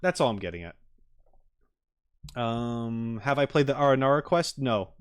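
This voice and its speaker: A man's voice: medium pitch, animated, normal speed, clean tone, no room sound. A man streaming casually to viewers, rambling and thinking aloud as he gives tentative opinions. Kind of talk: casual live-stream chat, reacting and giving opinions.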